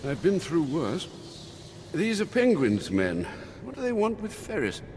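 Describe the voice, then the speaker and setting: An actor playing an elderly butler in a video game, formal and polite with dry, unflappable wit. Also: male voice, on the high side, huge pitch swings, unhurried, a little mellow, slight background noise. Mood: defiant, dry. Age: older. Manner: formal, polite, dryly sardonic. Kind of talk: video game cutscene acting.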